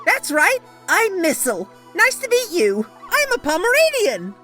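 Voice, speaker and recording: A woman voicing an excitable, earnest little Pomeranian spirit, fiercely loyal, in a game fandub. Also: extremely high pitch, measured pace, music in the background, full character voice. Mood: cheerful, matter-of-fact. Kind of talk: animated game fandub acting.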